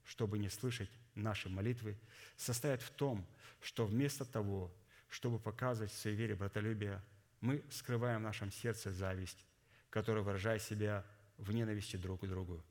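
A man delivering a sermon in a formal, didactic, deliberate way, his voice somewhat hoarse from a cold. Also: fairly low pitch, fairly even intonation. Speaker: preacher. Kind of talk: sermon with scripture readings and exposition.

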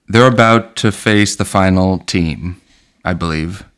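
A man's voice: deep voice, a little slow, a bit bright, clean tone. A man hosting a casual movie podcast, joking loosely and swearing freely. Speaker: podcast host. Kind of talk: casual chat about movies.

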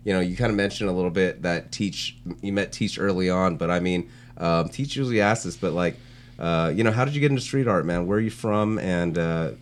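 A man's voice: sits low, fairly quick. A man co-hosting a casual chat, talking in a rambling, slangy way with plenty of "like" and "you know". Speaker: podcast co-host, street art blogger.

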